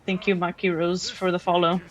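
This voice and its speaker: A woman's voice: fairly low pitch, little pitch movement, a little fast. A woman from Mexico with a Mexican accent, streaming a game and chatting casually with her chat, often restarting her sentences. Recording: dull lo-fi stream audio.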